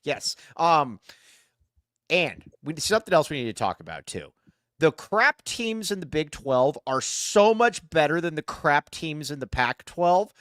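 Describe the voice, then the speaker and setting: A man co-hosting a sports podcast, joking and animated, often talking over his co-host. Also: on the high side, a little slow, no echo, studio quality. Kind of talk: casual sports chat.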